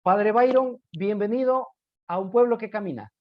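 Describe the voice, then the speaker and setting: A man's voice: sits high, normal speed, no room sound, lo-fi sound. A man with an Ecuadorian accent hosts a radio program, presenting and interviewing in a formal, courteous manner. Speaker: radio host.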